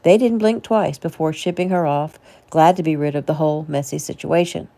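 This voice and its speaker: A woman's voice: sits low, fairly quick, dark tone. A woman reading her own novel aloud as a casual audiobook narration.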